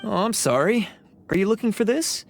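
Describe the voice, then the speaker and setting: Proud, high-voiced young oni. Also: male voice, animated, normal speed, vocal fry. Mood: cool, accusing. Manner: earnest, formal, dramatic. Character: proud, tormented young male oni, defiant and self-sacrificing. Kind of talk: video game voice acting. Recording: game music behind. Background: game music.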